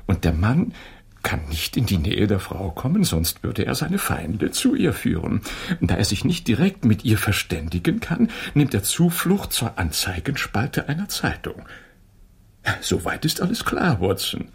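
An actor playing a brisk, self-assured Victorian detective in a German radio drama, commanding and deliberately explanatory. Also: male voice, on the low side, big pitch swings, vocal fry, slightly rough, heavily acted. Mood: analytical, assured.

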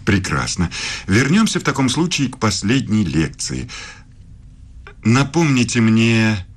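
A middle-aged man as a philosophy professor in a radio play. His voice is deep, his speech formal and measured, with a suave, inquisitive edge. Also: sing-song. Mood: suave, teasing.

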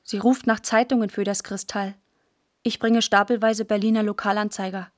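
A woman's voice: medium pitch, level tone, normal speed, close to an everyday voice. A woman playing a defiant young girl recalling the end of the war in a radio play. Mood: matter-of-fact, bold. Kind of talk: dramatised literary reading in a radio play. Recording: violin music under the voice.

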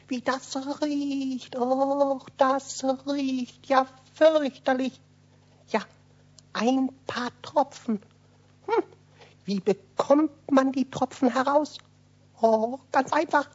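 A woman's voice: high-pitched, a little mellow, breathy edge, heavily acted. A woman playing a tiny, stubborn male kobold in a children's radio play, thin-voiced, childlike and overdramatic. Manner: wailing, drawn-out, overdramatic. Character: tiny whiny impish male kobold, stubborn and self-pitying. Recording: dull lo-fi old radio recording.

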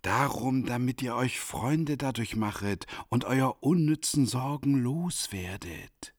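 Solemn wise man in a radio drama, slow and admonishing, really dry close sound. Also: medium pitch, animated, no room sound. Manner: measured, admonishing. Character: solemn, unhurried male sage counselling a young man. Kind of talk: radio play dialogue.

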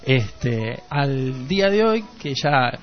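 A man's voice: mid-range voice, very animated, slow pace. An Argentine man with a Rioplatense accent being interviewed as a musician, speaking reflectively and earnestly, rephrasing as he goes. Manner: earnest, rephrases and repeats for emphasis. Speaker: musician and producer, radio guest. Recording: dull lo-fi radio, faint music behind.

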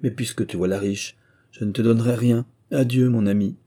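A man voicing a blustering male wind spirit from a storybook, with a gruff big voice. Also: mid-range voice, steady tone, brisk, dark tone, natural voice. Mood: blustering, jovial. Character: blustering giant wind spirit, booming, then pleading. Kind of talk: storybook character voice.

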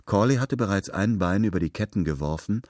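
Casting: male story narrator, low deep register, scripted prose, dry intimate studio sound. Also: expressive, brisk, darkish tone, no echo.

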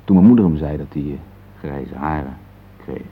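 A man's voice: rumbling low, normal speed, breathy edge, full character voice. An actor playing a reflective, tender middle-aged husband in a radio play, hesitant and searching for words as he speaks. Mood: tender, reminiscing. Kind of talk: intimate radio play dialogue. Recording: muffled phone-like old radio recording, hissy.

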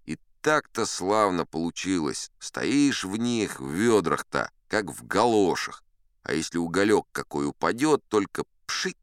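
An actor playing a seasoned, unflappable sea captain who spins tall tales in a chatty, wry, theatrical audiobook manner. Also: male voice, low-pitched, fairly bright tone, smooth, no room sound, studio quality, exaggerated voice. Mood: wry, unhurried. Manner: conversational, wry, leisurely asides to the listener. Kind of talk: theatrical audiobook storytelling in first person.

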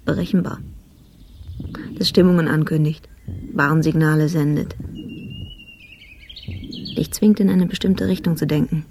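An actress playing a sombre, watchful police officer in a radio drama monologue, measured and pensive, in fragmentary phrases. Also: female voice, deep voice, steady tone, darkish tone, a little hiss or hum. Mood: pensive, ominous. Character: sombre, watchful police colleague reflecting on a detective.

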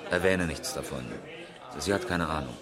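A man playing a chatty rally driver in a radio crime drama, talking casually and a little haltingly to a friend. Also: a bass voice, over a noisy crowd. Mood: uneasy, chatty.

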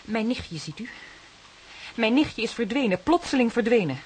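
An actress with a Dutch accent playing an anxious young woman in a radio play, speaking haltingly and repeating her words. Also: on the high side, big pitch swings, darkish tone, a little hiss or hum. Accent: Dutch, from the Netherlands. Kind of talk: radio drama acting.

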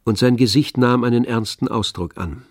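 A man narrating a literary radio drama in a measured storytelling manner. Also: medium pitch, no room sound. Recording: dry studio, music behind.

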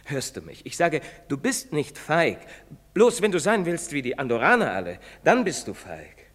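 An actor playing an earnest priest in a stage drama, pleading and moralizing in rhetorical style. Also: male voice, fairly high pitch, huge pitch swings, moderate pace, fairly bright tone, exaggerated voice. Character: earnest, preaching priest, later old and guilt-ridden. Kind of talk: theatrical stage drama acting. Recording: reverberant theatre stage recording.

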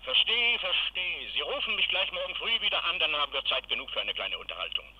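Male for a busy, placating audit official on the phone, dull narrow sound, very lively intonation. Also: a little high. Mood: hurried, placating. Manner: brisk, placating. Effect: telephone filter. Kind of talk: German radio drama acting. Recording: dull, band-limited telephone line.

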